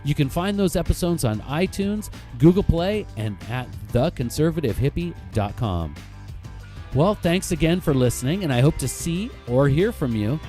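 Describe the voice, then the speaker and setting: A man's voice: a little high, lively intonation, moderate pace, warm tone. A man hosting a podcast, delivering a casual promotional announcement with guitar music behind him. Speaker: podcast host.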